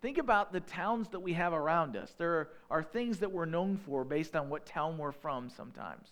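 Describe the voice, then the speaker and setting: A man in his thirties with a Northern Illinois accent, preaching a sermon in a relaxed, conversational way with folksy asides. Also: a little high, normal speed. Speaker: pastor.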